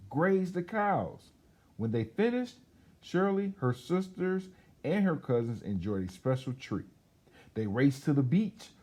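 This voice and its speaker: A man reading a children's storybook aloud as a storyteller, in an expressive, chatty way with casual asides. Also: mid-range voice, slightly rough.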